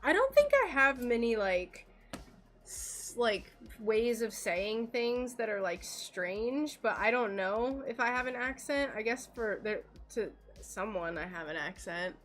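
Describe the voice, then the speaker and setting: Slow, chatty American woman. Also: fairly high pitch, animated, a bit bright, soft background music. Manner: chatty, giggly, rambling with fillers. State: slightly worn voice. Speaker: craft streamer. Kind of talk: casual chat while working.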